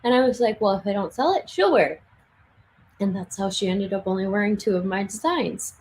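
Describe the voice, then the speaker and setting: A woman's voice: medium pitch, a little fast, a little mellow. Chatty young woman losing her voice. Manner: chatty, laughs often, many restarts. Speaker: livestream speaker. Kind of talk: casual livestream Q&A chat. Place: home craft room.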